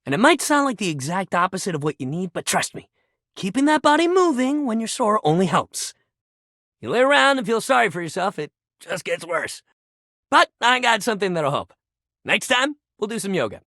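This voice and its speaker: Game role: an upbeat gym-bro coach, very animated, supportive, dry close sound. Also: male voice, high-pitched, a little fast, dry recording, exaggerated voice. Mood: caring, encouraging. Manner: peppy, encouraging, casual bro slang. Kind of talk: video game character voice lines.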